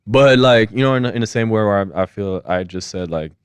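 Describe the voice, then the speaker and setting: A young man from Geneva, Switzerland, talking as a podcast guest in a relaxed, casual way, swearing freely and telling stories with energy. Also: fairly low pitch, a little fast, clean tone, dry recording. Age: young adult. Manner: laid-back, swears casually, animated retelling. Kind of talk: casual conversation.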